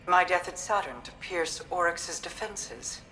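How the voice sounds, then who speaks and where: An actress playing a regal, guarded and calmly resolute queen in a video game, speaking in a measured, formal, restrained manner. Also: on the low side, a little hiss or hum, poor audio. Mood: composed, assured. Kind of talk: dramatic video game dialogue.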